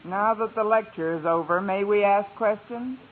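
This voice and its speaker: An actress playing a wry, quick-witted schoolteacher with dry delivery in a 1950s radio sitcom. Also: female voice, mid-range voice, lightly acted. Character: wry, quick-witted single woman schoolteacher hosting friends. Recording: noisy, tinny 1950s radio recording.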